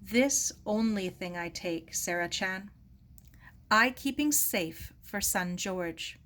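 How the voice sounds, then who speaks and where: Woman voicing a humble immigrant man, halting. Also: mid-range voice, a bit bright. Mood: sorrowful, subdued. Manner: broken English, halting and polite. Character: gentle, humble older Japanese immigrant man, sorrowful.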